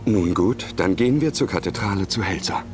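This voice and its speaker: An actor playing an earnest, courteous warrior monk in a video game, speaking politely and directly. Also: male voice, deep voice, expressive, brisk, faint music behind. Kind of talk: video game cutscene acting.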